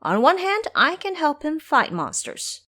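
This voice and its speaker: A woman playing a cocky, scheming male monkey disciple in an audio drama, with an animated, commanding manner. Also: sits high, unhurried, voice pushed up, exaggerated voice. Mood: sly, animated.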